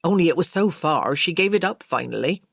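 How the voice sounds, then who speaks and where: A woman's voice: low-pitched, lively intonation, brisk, vocal fry, slightly rough, dry recording, studio quality, exaggerated voice. A woman voicing an artless, talkative servant girl with naive, colloquial speech in an audiobook. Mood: matter-of-fact.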